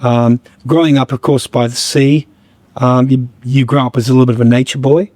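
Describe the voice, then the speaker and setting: Slightly croaky man, halting. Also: medium pitch, fairly even intonation, a little mellow, no echo. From Australia. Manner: halting, many fillers and restarts. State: a bit croaky. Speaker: guest musician. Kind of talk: reflective podcast conversation.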